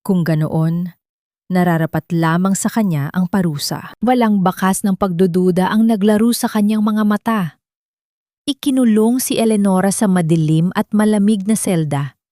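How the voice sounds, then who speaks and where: A woman's voice: mid-range voice, moderate pace, mellow, no echo. Dry, close woman narrating drama. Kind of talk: scripted dramatic story narration.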